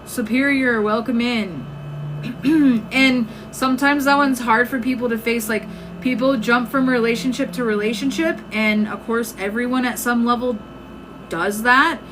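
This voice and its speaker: Female live-stream self-help host, higher-pitched, losing her voice, conversational and emphatic, over noticeable background noise. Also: steady tone, measured pace. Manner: conversational, emphatic, checks in with "right?". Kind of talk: informal motivational live-stream talk. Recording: clearly noisy background.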